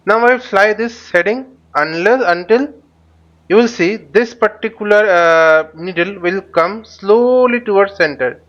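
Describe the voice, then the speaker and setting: Higher-pitched man, halting tutorial delivery, dull audio. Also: fairly bright tone. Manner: halting, thinking aloud while demonstrating. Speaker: flight navigation instructor. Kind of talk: live flight simulator tutorial commentary. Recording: dull, lo-fi microphone sound.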